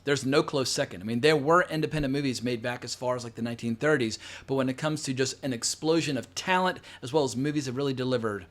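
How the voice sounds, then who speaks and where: A man's voice: mid-range voice, quick pace, hardly any pauses. A middle-aged man giving a casual, enthusiastic film review, rambling at length and swearing freely for emphasis. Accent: non-local, not New York. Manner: long-winded, chatty, with frequent fillers.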